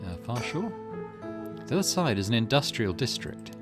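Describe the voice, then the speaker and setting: Man voicing an excitable young game hero. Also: mid-range voice, moderate pace, natural voice. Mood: musing. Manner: excitable, questioning. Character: startled, wary young man, visual novel hero. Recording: music behind the voice.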